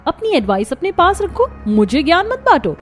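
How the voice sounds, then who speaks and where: A woman's voice: very high-pitched, very animated, normal speed, faint music behind. A woman voicing a spoiled, brash young modern daughter-in-law in a cartoon story, haughty and demanding, mixing in English words. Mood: angry, indignant. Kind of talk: Hindi cartoon story dialogue.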